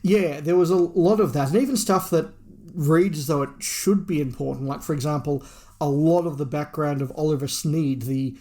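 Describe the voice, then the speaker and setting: Guy on a review podcast, voice a bit on the high side, talking through a book. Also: moderate pace, dark tone. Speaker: podcast host. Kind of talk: book review discussion, partly reading a plot summary.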